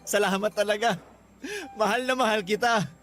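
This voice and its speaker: An actor playing an earnest, smitten boyfriend in a Filipino radio romance, sweet-talking and emotive with a Filipino accent. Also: male voice, high-pitched, steady tone, normal speed, fairly bright tone, soft background music. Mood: overjoyed.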